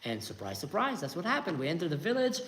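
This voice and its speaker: A man's voice: a little high, fast talker, slight background noise, low-quality recording. Israeli man telling a tense story. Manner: animated, acts out quoted voices, tense retelling. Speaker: storyteller host. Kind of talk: casual spoken storytelling.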